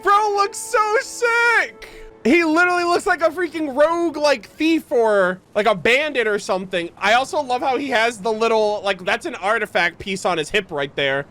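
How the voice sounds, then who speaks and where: A woman's voice: fairly high pitch, animated, moderate pace, fairly bright tone, soft background music. A woman streamer giving slangy, gushing, exclamatory reaction commentary on a video game.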